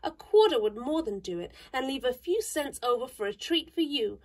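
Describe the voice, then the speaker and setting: A woman's voice: sits high, lively intonation, moderate pace. A woman voicing a vain young girl who is fond of big words, speaking in an earnest, fussy, chattering way. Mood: eager chatter.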